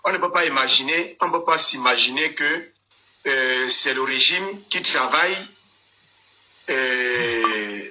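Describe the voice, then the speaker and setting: Guy hosting a live political debate, sharp bright voice, keeps cutting in w questions. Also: mid-range voice, poor audio. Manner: probing, interrupts to steer. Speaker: moderator.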